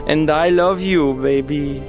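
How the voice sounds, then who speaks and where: Man playing higher-voiced, devoted heroic father. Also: takes it slowly, music in the background. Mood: tender. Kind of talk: audio drama acting.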